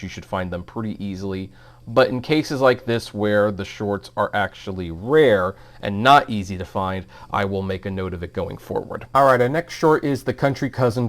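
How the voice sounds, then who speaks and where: A man hosting a film review, talking informally and giving his opinions in a conversational commentary style. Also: lowish voice.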